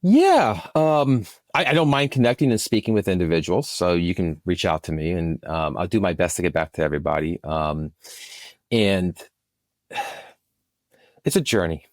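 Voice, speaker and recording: Deep-voiced man in an interview. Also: expressive, moderate pace, a little mellow, no room sound, clean studio sound. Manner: hesitant, repeats words as he thinks. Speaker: producer, podcast guest. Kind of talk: casual interview conversation.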